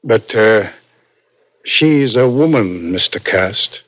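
An actor playing a crafty police interrogator in a 1960s radio drama, coaxing and insinuating with deliberate, halting questions. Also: male voice, on the low side, expressive, no echo. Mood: sly, needling. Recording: dull vintage radio broadcast recording.

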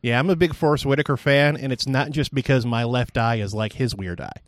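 A man's voice: mid-range voice, brisk, dry recording. Wisecracking man, dry close recording. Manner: wisecracking, profane. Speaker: podcast co-host. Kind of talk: casual comedic banter.

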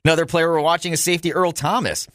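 A man's voice: a little high, fairly even intonation, fast, fairly bright tone, no room sound. Dry-sounding male sports radio host. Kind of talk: sports talk radio monologue and commentary. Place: radio studio.

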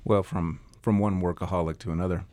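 A middle-aged man co-hosting a casual talk show, speaking haltingly and repeating words. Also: low-pitched, fairly even intonation, a little mellow, no room sound. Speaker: show co-host.

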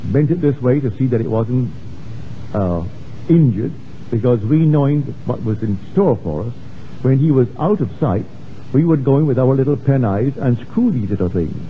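A man from Barbados giving a sermon-like spiritual lecture, telling personal stories emphatically and drawing out his quotations. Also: mid-range voice. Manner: emphatic storytelling with drawn-out quotations. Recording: tinny, band-limited, noisy old lecture recording.

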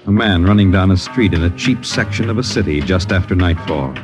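An actor playing a grave, ominous narrator of a 1950s radio suspense drama, speaking in a deliberate, dramatic way. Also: male voice, sits low, over music, lightly acted. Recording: dull old radio broadcast.

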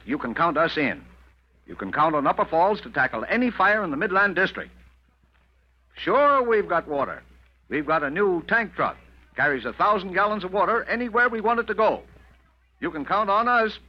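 A folksy small-town volunteer fire chief, hearty and eager. His voice sits a little high and swings widely in pitch, in a muffled 1940s radio drama recording. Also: animated, moderate pace. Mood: eager, proud. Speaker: radio actor.

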